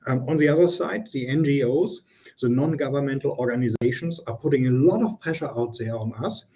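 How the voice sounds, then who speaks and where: Middle-aged German-accented guest in a business interview, warm and relaxed tone, earnest, many ums. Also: male voice, mid-range voice, a little fast, rough recording. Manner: earnest, explanatory, frequent ums and restarts. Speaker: guest consultant.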